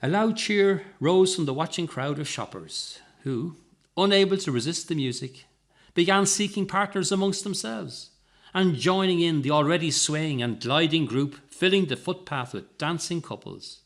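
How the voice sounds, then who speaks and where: Animated male story reader, fairly high. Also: moderate pace. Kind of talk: expressive scripted narration of comic fiction.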